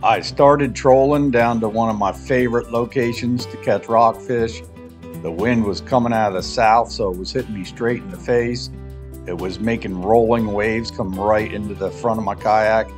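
A middle-aged man narrating his kayak fishing trip in a relaxed, plain voice-over. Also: on the low side, moderate pace, faint music behind. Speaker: fishing vlogger.

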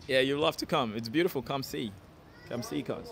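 A young man from Ghana talks to his live-stream viewers while walking down a sunny city street, his phone held at arm's length. He chats in a relaxed, repetitive way and keeps saying "okay" and "yeah". Traffic and street noise run clearly underneath his voice. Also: medium pitch, a little fast. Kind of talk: casual live-stream chat, reading viewer comments aloud. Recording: phone recording on a noisy street.

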